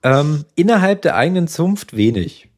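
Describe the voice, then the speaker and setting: A man's voice: fairly high pitch, normal speed. Man explaining casually. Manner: relaxed, explains with fillers and tag questions. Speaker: psychotherapist guest. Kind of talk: casual podcast conversation.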